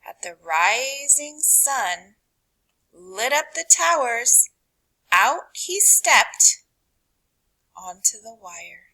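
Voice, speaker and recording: Slow, slightly husky woman reading a storybook aloud. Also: a little high, big pitch swings, poor audio. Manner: dramatic, deliberate pauses with hushed phrase endings. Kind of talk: expressive children's read-aloud narration.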